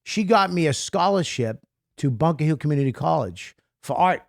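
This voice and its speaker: Rambling Boston comic telling stories. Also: male voice, fairly high pitch, expressive, gravelly, no room sound, clean studio sound. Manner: rambling, self-deprecating, halting restarts. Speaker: guest comedian. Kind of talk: interview storytelling.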